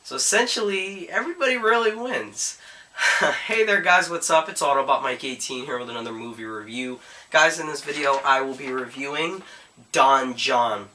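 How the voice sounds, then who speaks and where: A man's voice: medium pitch, moderate pace, bright, forceful tone. A young man reviewing a movie in a casual, chatty way, full of fillers, while getting over a cold. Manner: chatty, frequent "guys" and "uh" fillers.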